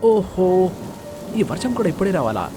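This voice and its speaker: Man voicing a young cartoon traveller, raised pitch, confident, urgent, questioning, music behind. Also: big pitch swings, moderate pace. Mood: dismissive. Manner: casual, questioning, calling out.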